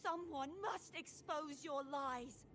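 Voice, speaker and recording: An actress playing a fierce, determined young heroine in a game, emphatic and defiant. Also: female voice, high-pitched, slow, noisy background. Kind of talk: dramatic game voice acting.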